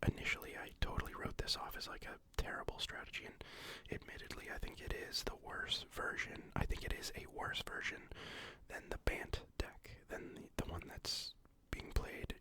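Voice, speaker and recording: Breathy whispering ASMR explainer, sniffly from a recent illness, halting, full of fillers. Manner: rambling, halting, many fillers and restarts. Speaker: card game deck explainer. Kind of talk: whispered ASMR deck walkthrough.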